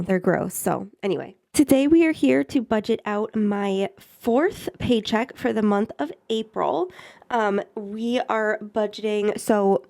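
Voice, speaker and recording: A young woman in her mid-twenties talks to camera in a friendly, chatty way, her voice a little congested from a head cold. Her pitch sits in an ordinary middle range, and there is a gravelly fry at the ends of her phrases. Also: darkish tone. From Maine, US. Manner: chatty and effusive, thanks viewers often. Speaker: budgeting YouTuber. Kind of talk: casual chat to camera.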